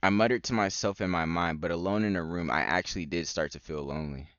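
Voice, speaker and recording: A man's voice: low voice, fast talker, a bit bright, dry recording, muffled recording. A young man streaming a game, reading visual novel text aloud with casual reactions.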